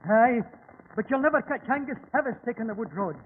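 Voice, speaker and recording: An actor playing a superstitious, fearful Scottish carriage driver in an ominous, theatrical old radio drama style. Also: male voice, very high-pitched, animated, brisk. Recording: noisy old radio drama, tinny phone-like band.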